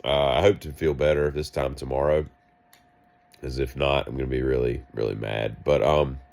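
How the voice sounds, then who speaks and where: A man with a deep voice, sick and coughing, telling a rambling, wry story in a vlog. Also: fairly even intonation, moderate pace, creaky, darkish tone. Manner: wry, self-mocking, meandering asides. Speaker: vlogger.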